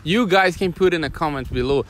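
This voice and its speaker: A man's voice: a little high, a bit bright. Brazilian man hosting a casual interview. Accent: Brazilian Portuguese. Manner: halting, searching for words. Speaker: host.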